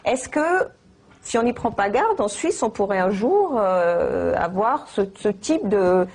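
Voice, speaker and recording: A woman's voice: medium pitch, big pitch swings, takes it slowly, darkish tone, husky. A woman moderating a televised debate, pressing her guests with questions and cutting in.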